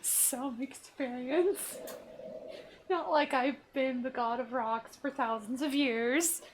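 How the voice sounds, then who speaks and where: A woman's voice: high-pitched, slow, a little hiss or hum, low-quality recording. A woman giving casual, joking commentary while playing a video game. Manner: joking, voices mock quotes. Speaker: gaming commentator. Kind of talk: casual playful gameplay commentary.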